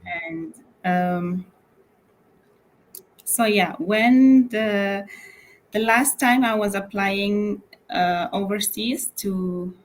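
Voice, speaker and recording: A woman's voice: mid-range voice, very slow, long pauses, low-quality recording. A young woman raised in Malaysia, talking about her work as an interior architect in a casual interview over a video call, with many fillers and restarts. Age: young adult.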